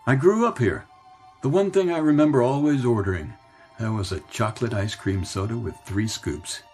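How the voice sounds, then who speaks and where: A man's voice: medium pitch, normal speed, a little mellow, soft background music, close to an everyday voice. A man playing a weary, nostalgic middle-aged businessman who muses wistfully in a radio drama. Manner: wistful, musing.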